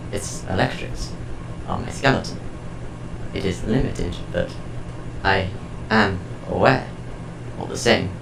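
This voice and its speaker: A man playing an earnest, newly self-aware house A.I. in an audio drama, with formal, measured, stilted phrasing. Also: low voice, creaky, noisy background, heavily acted. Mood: uncertain, searching. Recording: echoing voice over music.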